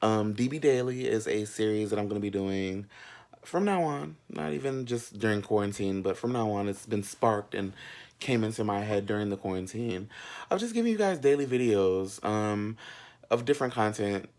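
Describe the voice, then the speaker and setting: Young American man, slightly low pitch, casual vlog storytelling, sassy, gossipy, playful slang. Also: animated, moderate pace, a little mellow. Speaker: vlog host. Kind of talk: casual chatty storytelling to viewers.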